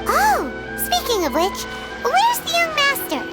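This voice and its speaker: A woman voicing a small, childlike, excitable fairy companion in a video game, squeaky and bubbly with chatty exclamations. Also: measured pace, heavily acted. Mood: curious, surprised. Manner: bubbly, exclamatory, refers to self by name. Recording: background music under the voice.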